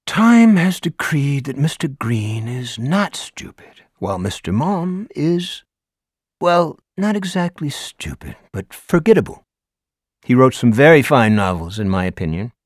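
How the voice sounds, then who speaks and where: A man voicing a theatrical, flamboyant high school English teacher who declaims and lectures with grand emphasis. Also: no room sound, clean studio sound. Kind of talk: audiobook character voice.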